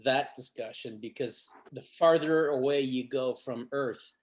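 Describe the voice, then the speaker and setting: Guy on a panel, average pitch, thinking out loud, stops and starts. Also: measured pace. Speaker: cast member on a panel. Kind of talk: casual panel discussion.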